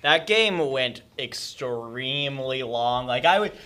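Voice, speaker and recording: A man's voice: medium pitch, lively intonation, takes it slowly, sharp tone, smooth. A young man co-casting college esports. He drops in chatty asides about an earlier game. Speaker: esports co-caster. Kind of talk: casual esports commentary.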